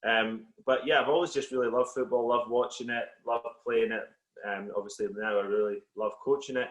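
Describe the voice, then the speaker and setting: A Scottish man whose accent has softened a little, a football coach talking as a guest on a video call in a relaxed, reflective way with many fillers. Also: mid-range voice, brisk, roomy sound, low-quality recording. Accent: Scottish, slightly Americanised. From Scotland. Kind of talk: casual interview on a call.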